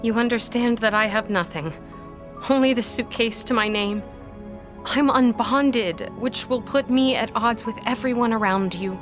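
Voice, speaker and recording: An actress playing an earnest, wary young woman scholar in a period romance audio drama, speaking in formal, measured phrases. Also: a little high, over music, natural voice. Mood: vulnerable, yearning.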